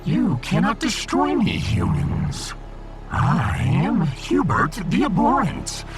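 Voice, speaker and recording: A man's voice: on the high side, huge pitch swings, takes it slowly, music in the background, exaggerated voice. A man playing a menacing, boastful alien fish emperor in a science fiction audio drama, making grandiose threats. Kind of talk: audiodrama villain acting.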